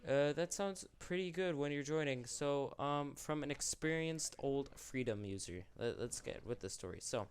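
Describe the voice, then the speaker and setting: A man's voice: a little high, normal speed, few pauses, clean tone. Sick young man rambling unscripted. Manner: rambling, self-correcting, candid. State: sick, losing his voice. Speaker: YouTube channel host. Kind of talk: unscripted commentary.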